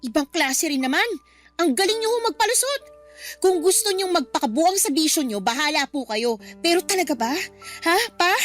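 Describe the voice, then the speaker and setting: A woman's voice: sits high, animated, normal speed, a bit bright, faint music behind. A woman acting a fed-up, outspoken Filipino daughter in a radio drama, bitter, sarcastic and confrontational.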